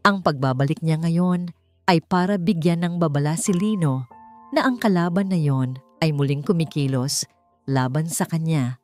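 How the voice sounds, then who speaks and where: A woman narrates a scripted romantic drama in a lowish voice. She reads as a storyteller, with soft music running behind her. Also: moderate pace. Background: background music.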